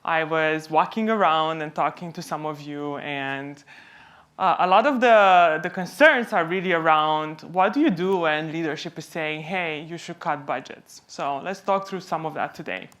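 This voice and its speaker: Woman doing a conference talk, lowish voice, from home, explains stuff by asking questions then answering. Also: moderate pace, fairly bright tone. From Romania. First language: Romanian. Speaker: company co-founder presenting at a conference. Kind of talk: conference presentation.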